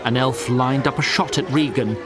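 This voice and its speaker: A man's voice: mid-range voice, moderate pace, over a noisy crowd. A man narrating a scripted fantasy audio drama in a storyteller's tone with wry asides. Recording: loud music behind the voice.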